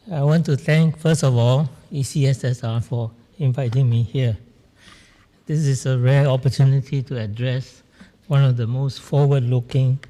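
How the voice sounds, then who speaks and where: An older man from Singapore, giving a measured, reflective conference talk with frequent pauses and fillers. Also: medium pitch, mellow. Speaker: guest speaker. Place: large conference hall. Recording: reverberant conference hall.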